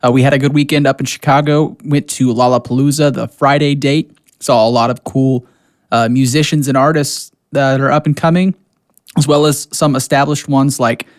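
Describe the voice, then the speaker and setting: A man's voice: mid-range voice, steady tone, moderate pace, no echo. Dry-sounding man hosting radio, casual. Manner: informal, conversational. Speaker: radio music show host. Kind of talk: casual radio host chat introducing music.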